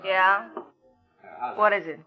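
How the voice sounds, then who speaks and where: A woman's voice: medium pitch, fairly even intonation, takes it slowly, soft background music, natural voice. A woman playing a wary, hard-boiled young waitress in a radio suspense drama, clipped and guarded. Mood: brusque, wary. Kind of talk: 1950s radio suspense acting. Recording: dull old radio recording.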